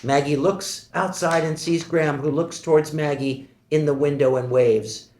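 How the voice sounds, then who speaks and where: A man reading stage directions aloud at a screenplay table read, steady and matter-of-fact. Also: fairly high pitch, moderate pace. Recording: echoey room.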